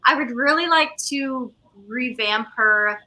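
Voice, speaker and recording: A woman in her twenties with a very bright, slightly high voice. She explains things in a loose, informal interview style. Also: takes it slowly. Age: 20s. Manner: informal, conversational. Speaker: theater company co-founder, interview guest. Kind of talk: casual interview chat.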